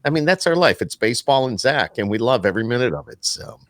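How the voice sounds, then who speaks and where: A man's voice: medium pitch, sing-song, fast, no echo. A middle-aged American man hosting a podcast, chatting casually like a radio announcer off duty.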